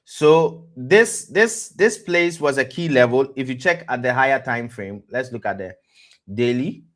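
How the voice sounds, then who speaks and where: A man with a West African accent hosting a live-streamed trading lesson, pausing to ask "what?" and answering himself, often repeating words as he goes. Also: mid-range voice, expressive. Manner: quizzes listeners with rhetorical "what?" prompts; repeats words and restarts phrases. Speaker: trading educator, stream host.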